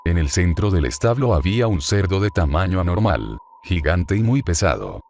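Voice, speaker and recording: A man narrating a scripted true-crime horror story in a grave, measured, formal manner. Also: low voice, very animated, gravelly, darkish tone, a little hiss or hum.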